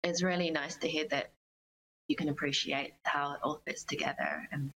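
Low-pitched young woman with background noise. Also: steady tone, normal speed, a bit bright, low-quality recording. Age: young adult. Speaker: interview guest, pop singer-songwriter. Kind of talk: conversational interview answers.

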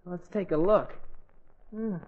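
A man's voice: high-pitched, slow. An eager teenage boy, crackly old radio. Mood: helpful. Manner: boyish, folksy, earnest. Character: eager, good-natured teenage boy, polite and boyish. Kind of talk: 1940s radio comedy acting. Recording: noisy, muffled 1940s radio broadcast.

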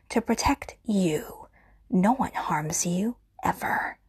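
A woman's voice: medium pitch, a little mellow, slightly rough. A woman voicing an obsessive, possessive stalker who kills for love, speaking intimately and often in a menacing whisper in an audio roleplay.

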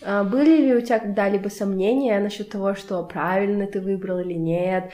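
A woman's voice: medium pitch, steady tone, brisk, mellow. Young woman interviewing in an echoey room. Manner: conversational, probing with follow-up questions. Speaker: podcast host interviewing a guest. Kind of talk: casual interview chat. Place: indoors in a home.

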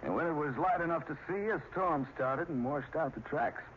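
An actor playing a weary, plain-spoken small-town sheriff in an old radio drama, with a folksy, hesitant manner. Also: male voice, on the high side, moderate pace, close to an everyday voice. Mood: grave. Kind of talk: 1940s radio drama acting. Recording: dull, hissy old radio recording.

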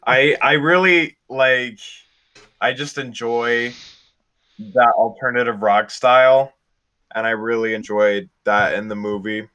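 A man's voice: medium pitch, slow pace, pushed and bright, smooth. A young man co-hosting a podcast, talking casually in a group review chat. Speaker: podcast co-host.

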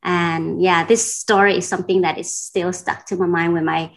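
A woman's voice: lowish voice, fairly even intonation, moderate pace. Thoughtful, hesitant woman from Denmark in conversation. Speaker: interview guest. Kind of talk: casual reflective conversation.

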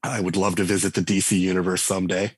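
A man's voice: sits low, little pitch movement, fast talker, breathy edge, no echo, clean studio sound. A man speaking as a podcast guest, talking in a thoughtful, rambling way with lots of fillers and restarts. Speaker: game writer and designer guest. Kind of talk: conversational podcast interview.

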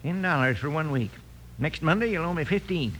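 An actor playing a grasping, suspicious American loan shark in an old-time radio Western, with a curt, wheedling manner. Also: male voice, fairly high pitch, expressive, brisk, fairly bright tone. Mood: greedy. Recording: noisy vintage radio recording.

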